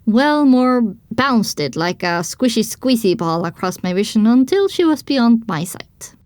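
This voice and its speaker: Female audiobook narrator, fairly high voice, expressive storytelling, scripted first-person narration, dry studio sound. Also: a little slow, no echo.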